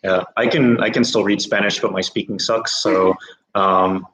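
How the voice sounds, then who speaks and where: Brief: American man, lowish voice, relaxed livestream host, echoey room. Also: normal speed, slight background noise. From United States. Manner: relaxed, many fillers and restarts. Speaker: host of a study-abroad livestream. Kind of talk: casual live Q&A chat.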